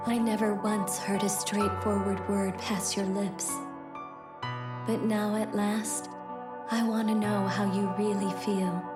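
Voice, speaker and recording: Actress as gentle young woman in love, earnest, unhurried, reverberant, game cutscene drama, prominent new-age music. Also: medium pitch, level tone, lightly acted. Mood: calm, loving.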